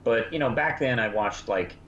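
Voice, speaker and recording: A man's voice: medium pitch, normal speed, fairly bright tone. A middle-aged man chatting casually as a podcast co-host, rambling through his points with frequent asides. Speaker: podcast co-host reviewing a fan audio drama. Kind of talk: casual review chat. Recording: echoey, muffled, faintly noisy room.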